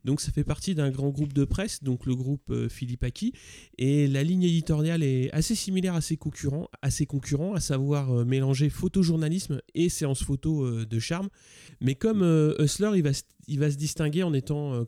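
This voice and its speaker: Male podcast explainer, dark relaxed tone, very dry sound, informative, slightly halting. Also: a little high, dry recording. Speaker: podcast contributor.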